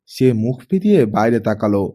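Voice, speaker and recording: A man reading a story aloud as an expressive audiobook narrator. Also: medium pitch, moderate pace.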